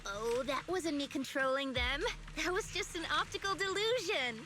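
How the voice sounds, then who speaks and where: A woman voicing an earnest young illusionist in an anime-style video game, speaking in a gentle, thoughtful, explanatory manner. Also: high voice, animated, normal speed. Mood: sheepish, earnest. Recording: music behind the voice. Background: background music.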